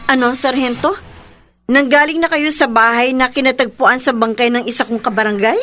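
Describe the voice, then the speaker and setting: A brave young policewoman in a radio horror drama. Her voice is high, and she speaks earnestly and with resolve, like a dutiful daughter. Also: steady tone, fairly quick, fairly bright tone, soft background music. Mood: businesslike.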